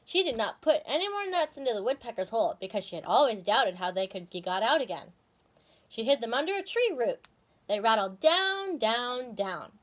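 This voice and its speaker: A woman reading a children's story aloud in a dramatic, expressive way. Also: a little high, normal speed.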